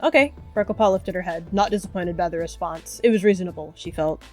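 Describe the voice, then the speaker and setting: A woman's voice: on the low side, steady tone, fast, warm tone, soft background music. A woman narrating a scripted roleplay story as narrator.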